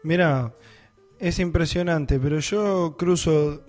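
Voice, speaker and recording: Animated Argentine man telling travel stories. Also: fairly high pitch, slow pace, faint music behind. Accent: Argentine Spanish. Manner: animated anecdote-telling, laughs often. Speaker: guest. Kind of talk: casual storytelling in conversation.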